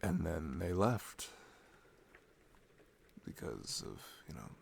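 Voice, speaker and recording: Low, creaky, slow-talking man, intimate ASMR. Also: wildly swooping pitch, slight background noise. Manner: soft, hesitant, tender, pausing between phrases. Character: affectionate, sincere, reflective boyfriend. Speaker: audio roleplay performer playing a boyfriend.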